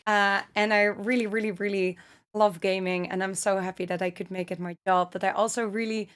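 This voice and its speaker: A woman's voice: mid-range voice, little pitch movement, fairly quick, a bit bright, clear, resonant tone. A woman hosting a casual podcast chat, not a native English speaker, friendly and giggly with fillers. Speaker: podcast host.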